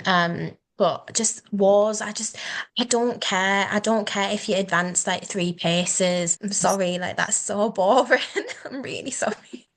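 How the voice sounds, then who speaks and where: A woman's voice: medium pitch, a bit bright. A British woman in her twenties, a guest on a casual interview, bubbly and rambling with lots of fillers. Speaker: guest, history content creator.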